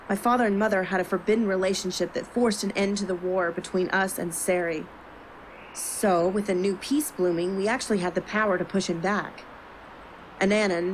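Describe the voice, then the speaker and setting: A woman's voice: mid-range voice, a little hiss or hum, lightly acted, rough recording. A woman playing an earnest, burdened young royal in an audio drama.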